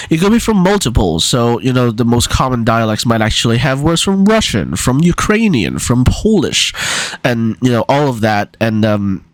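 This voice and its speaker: A man's voice: fairly low pitch, no echo, low-quality recording. A man talking as an amateur linguist in a casual explainer vlog, hesitant, with many fillers and restarts.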